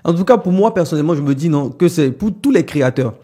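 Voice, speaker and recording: A male podcast host with a somewhat high, mellow voice that carries a breathy rasp. He speaks in relaxed, slangy French, with plenty of casual fillers. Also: fast. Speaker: podcast host, business content creator.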